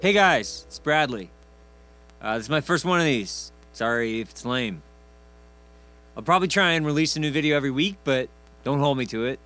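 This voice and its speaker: An actor playing an awkward, cocky young online gamer in an amateur vlog, with hesitant, slangy bravado. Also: male voice, medium pitch, animated, normal speed, long pauses, a bit bright, natural voice. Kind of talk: amateur vlog monologue, acted.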